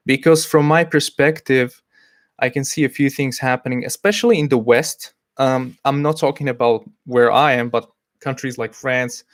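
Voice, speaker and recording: A man's voice: mid-range voice, no room sound, clean studio sound. A man from Slovakia, hosting a casual livestream, talking hesitantly and reading out chat messages. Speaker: livestream host.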